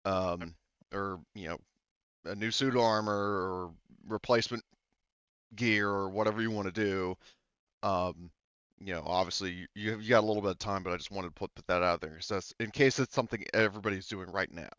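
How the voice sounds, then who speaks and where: A man's voice: low-pitched, fairly even intonation, a little fast, fairly bright tone, smooth, no echo, highs cut off. A man running a tabletop game as game master, explaining things in a rambling way with lots of fillers.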